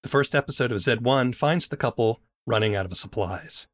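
A man hosting a podcast, reading a scripted introduction in a measured narrating style. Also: mid-range voice. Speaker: podcast host.

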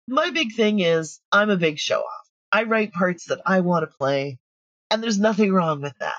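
A woman's voice: mid-range voice, expressive, measured pace, no room sound, dull audio. A woman chatting frankly and practically as an interview guest about her creative work. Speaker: audio drama writer and producer, interview guest. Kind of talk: casual interview chat.

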